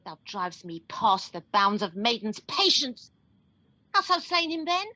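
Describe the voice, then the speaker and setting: A woman's voice: mid-range voice, sing-song, measured pace, pushed and bright, no echo, lo-fi sound. A woman playing a fierce, distraught young woman in a radio Shakespeare play, scolding and accusing in impassioned verse. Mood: furious anguish.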